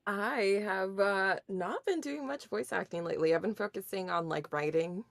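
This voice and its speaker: A woman's voice: medium pitch, moderate pace, vocal fry, no room sound, clean studio sound. A woman, a voice actor and writer, chatting casually in a hesitant, self-deprecating way. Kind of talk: casual chat.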